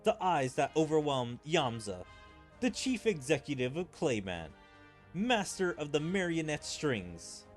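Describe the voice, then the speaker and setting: A man's voice: a little high, big pitch swings, unhurried, faint music behind. A man voicing a composed, calculating fighter from an anime game, reading in a formal, theatrical way.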